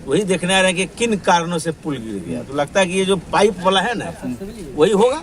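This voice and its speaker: A man's voice: high voice, lively intonation, a little fast. A man with a Bihari accent giving informal answers in an on-the-spot interview. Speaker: interviewee at the scene. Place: outdoors among a crowd. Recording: busy outdoor crowd noise.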